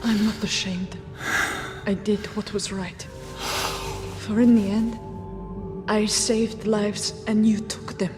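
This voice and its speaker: An actress playing a defiant, idealistic nurse in a video game drama, speaking in an articulate, formal way. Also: female voice, medium pitch, level tone, slow, long pauses, close to an everyday voice. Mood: wounded, quiet. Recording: game cut-scene with music underneath.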